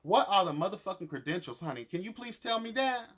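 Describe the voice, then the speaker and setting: A man's voice: high voice, very animated, fast, a bit bright. Sassy, emphatic man giving a profane rant. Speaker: YouTube reviewer of a reality show. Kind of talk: opinionated review monologue, casual and profane.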